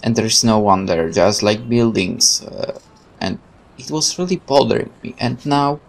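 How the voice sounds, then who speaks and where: A man's voice: lowish voice, expressive, normal speed, gravelly. A man hosting a let's play, switching between casual commentary and reading the game's narration aloud, with game music behind him. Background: video game music.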